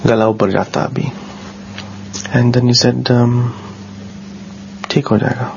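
A man with a bassy low voice, telling a personal story in a casual, earnest way, often halting and repeating words. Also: little pitch movement, vocal fry, darkish tone. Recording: dull lo-fi sound with faint noise.

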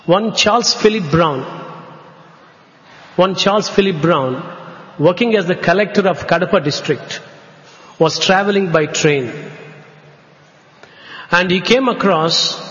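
Indian man giving a slow devotional talk, high voice, echoey hall, kinda muffled. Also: a bit bright. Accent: Indian English. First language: Telugu. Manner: slow, measured, drawn-out phrases. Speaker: speaker at a spiritual gathering. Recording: echoey hall, dull lo-fi sound.

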